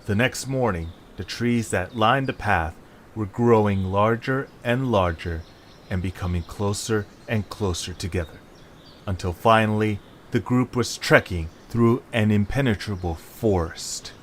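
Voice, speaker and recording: A man's voice: on the low side, unhurried, a little hiss or hum. A man reading scripted narration for an adventure story as its narrator.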